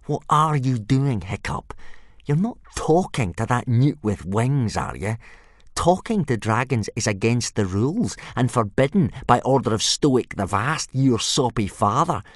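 A man's voice: mid-range voice, animated, a little slow, dry recording, studio quality. Man putting on a sneering Viking bully boy. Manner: sneering, mocking. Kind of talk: audiobook character voice.

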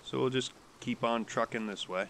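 A man's voice: medium pitch, normal speed, vocal fry, fairly bright tone, slight background noise. A man with a stuffy nose from a cold, casually commenting while playing a game, rambling and hesitant with many restarts. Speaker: gaming YouTuber.

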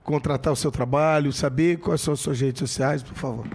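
A man's voice: mid-range voice, steady tone, brisk, darkish tone. A middle-aged man with a Brazilian accent, hosting a podcast in a studio on a handheld mic, reading ads with energy. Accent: Brazilian Portuguese. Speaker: podcast host. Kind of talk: casual podcast talk with live ad reads.

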